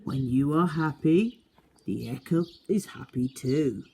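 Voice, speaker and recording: Female reader as elderly male beaver sage: calm, kindly, unhurried, mellow, storybook delivery. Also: sits low, a little hiss or hum. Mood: gentle, explaining. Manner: slow, measured, explaining gently. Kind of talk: children's storybook character voice.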